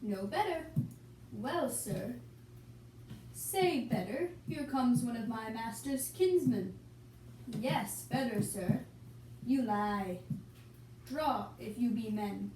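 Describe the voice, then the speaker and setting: Slow, high-voiced young woman in an echoey room. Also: expressive, a little mellow. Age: young adult. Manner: theatrical, reciting archaic dialogue slowly. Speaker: amateur puppeteer and performer. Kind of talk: amateur puppet-show reading of Shakespeare. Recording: echoey room, distant mic, hissy noise.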